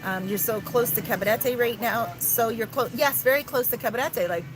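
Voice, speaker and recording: Woman livestreamer, casual and chatty, phone mic outdoors, loud music behind. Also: fairly high pitch, normal speed, low-quality recording. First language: English. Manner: chatty, reads viewer messages aloud, mixes in simple Spanish. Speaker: vlogger on a livestream. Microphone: handheld phone.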